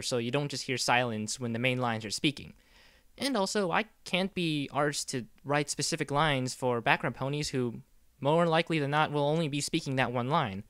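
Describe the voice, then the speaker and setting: Man, slightly high pitch, clean smooth tone, informal rambling explainer, encouraging, dry sound. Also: fairly bright tone, dry recording. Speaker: director of a fan dub project giving audition instructions. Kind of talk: casual semi-scripted explainer.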